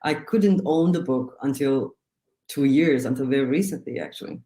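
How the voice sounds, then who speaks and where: A woman writer from Turkey, whose first language is Turkish, speaking on a panel in a reflective, halting way with short laughs between phrases. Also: rumbling low, mellow. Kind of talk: panel discussion. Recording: roomy hall sound.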